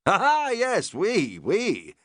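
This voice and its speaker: A cheerful, plummy English lord, put on by a male narrator. He sounds eager and genteel, his pitch leaping up brightly, in a dry, close recording. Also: huge pitch swings, extremely slow, no echo, heavily acted. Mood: delighted. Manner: plummy, enthusiastic.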